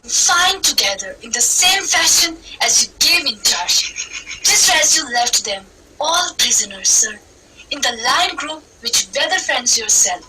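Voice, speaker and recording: A woman performs classical verse in a declaimed, theatrical manner. Her voice is high and strikingly sharp. She sounds distant from the microphone, with music behind her. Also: big pitch swings, a little slow, a little hiss or hum. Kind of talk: dramatic recitation of Shakespeare.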